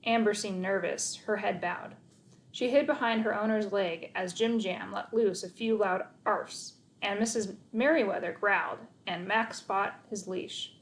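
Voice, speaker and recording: A woman narrating a children's story aloud in an expressive read-aloud style. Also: mid-range voice, measured pace, low-quality recording.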